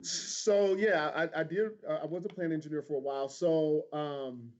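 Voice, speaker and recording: A man's voice: fairly high pitch, fairly even intonation, moderate pace. A middle-aged man from Houston with an American accent, answering interview questions in an informal, candid way. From Houston, Texas, United States. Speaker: interview guest, insurance agent. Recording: dull lo-fi livestream audio.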